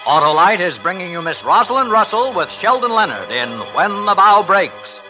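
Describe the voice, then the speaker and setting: A man's voice: high voice, sing-song, normal speed. A man announcing a vintage radio drama in a formal, dramatic, scripted style. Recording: dull old radio recording, music behind.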